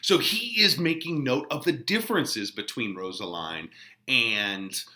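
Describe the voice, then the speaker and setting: A man giving informal, colloquial commentary as a literature teacher, in an expressive conversational manner. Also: medium pitch, unhurried.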